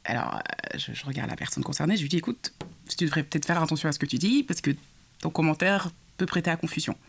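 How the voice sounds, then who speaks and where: Young woman telling a story, halting. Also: low voice, gravelly, slight background noise. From Congo. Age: young adult. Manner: animated storytelling, many hesitations and restarts. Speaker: guest sharing her experience. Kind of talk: podcast conversation.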